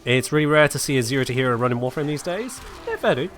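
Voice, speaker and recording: A man's voice: medium pitch, lively intonation, fast, fairly bright tone. A sick man rambles easily through a live gaming stream, answering chat between coughs. His voice sits in an ordinary middle range. Faint music plays underneath.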